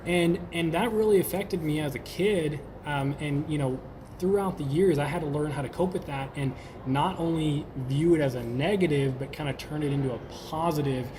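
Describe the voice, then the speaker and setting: A man's voice: a little high, moderate pace, background noise. A man in his twenties from Sweden, a podcast guest talking casually and enthusiastically. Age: 20s. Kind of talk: casual interview chat.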